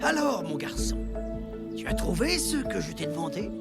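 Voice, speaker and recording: A woman playing a kindly, knowing village healer in an animated series, reassuring and maternal. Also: on the low side, moderate pace, vocal fry. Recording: loud music under the dialogue.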